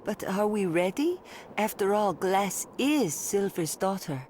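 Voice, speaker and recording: An actress playing a loyal, practical adventuring companion in a fantasy video game. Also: female voice, mid-range voice, lively intonation, a little slow, darkish tone, close to an everyday voice. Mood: wary. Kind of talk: video game character acting. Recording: clear background ambience noise.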